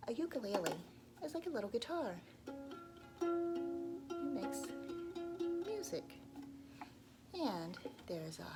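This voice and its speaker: A woman reading a folk tale aloud to children, pausing to ask them questions and dropping into whispers. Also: mid-range voice. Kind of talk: children's read-aloud storytelling.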